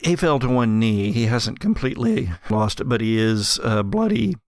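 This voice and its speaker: A man running a horror tabletop roleplaying game as game master, narrating descriptively with drawn-out emphasis. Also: medium pitch, very animated, dry recording, studio quality.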